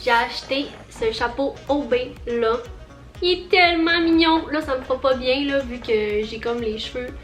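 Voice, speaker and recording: A woman's voice: high-pitched, moderate pace, roomy sound, faint music behind. A young woman with a Quebec French accent, chatting through a casual haul vlog, bubbly and rambling with lots of fillers. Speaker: vlogger.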